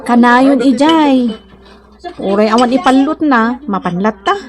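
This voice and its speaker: A young Ilocano sweetheart in a radio drama, high-pitched and very lively in intonation. She is a reassuring young teacher talking in a conversational, acted style. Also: unhurried, a crowd in the background. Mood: chatty.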